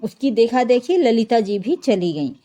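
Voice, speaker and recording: High-pitched, mellow woman storyteller. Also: big pitch swings, moderate pace. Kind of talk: scripted narration of a Hindi family drama story.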